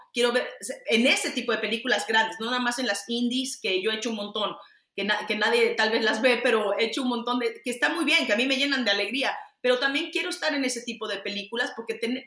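Mexican woman, middle-aged, bright high-ish voice, candid and emphatic, echoey room; interview guest. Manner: candid, emphatic, frequent restarts and fillers. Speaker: actress and producer. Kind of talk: interview answers.

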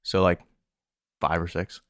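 A man's voice: low voice, steady tone, measured pace, fairly bright tone, no room sound. A man with an American accent answering questions as a podcast co-host, chatting casually with playful, dry replies. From eastern United States. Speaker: podcast co-host being interviewed. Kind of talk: casual chat.

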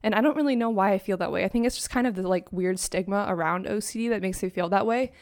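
A woman's voice: medium pitch, fast talker, darkish tone. A young woman hosting her own podcast in a chatty, upbeat way, peppered with "like", her voice still sleepy from the morning. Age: young adult. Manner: chatty, upbeat, heavy use of "like". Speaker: podcast host. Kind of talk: casual solo podcast talk. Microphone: own microphone and laptop.

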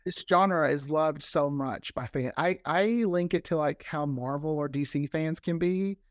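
A man's voice: a little high, a little slow, dry recording, studio quality. A talkative man chatting casually as an interview guest, often repeating words for emphasis. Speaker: interview guest, fiction podcast creator.

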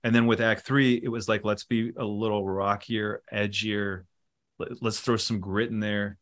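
Low-voiced man rambling about studio work. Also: normal speed. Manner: relaxed, rambling, with many fillers. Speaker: musician and producer guest. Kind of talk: casual interview talk.

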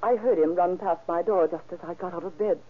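An actress playing an anxious, loyal aunt in an old-time radio crime drama. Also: female voice, on the low side, a little fast, close to an everyday voice. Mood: earnest, protective. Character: anxious, loyal aunt defending her nephew. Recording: muffled, band-limited vintage radio recording.

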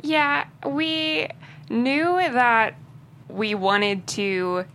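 A woman's voice: fairly high pitch, expressive, drawn-out words, fairly bright tone, a little hiss or hum. A woman speaking casually as a writer being interviewed. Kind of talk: casual chat.

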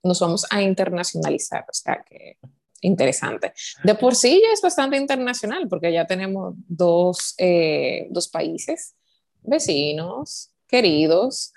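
A woman from the Dominican Republic with a Dominican accent, co-hosting a casual chat over a video call and filling pauses with "eh". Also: fairly low pitch, very animated, creaky, a little mellow. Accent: Dominican Spanish. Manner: chatty, with many "eh" fillers. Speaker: co-host.

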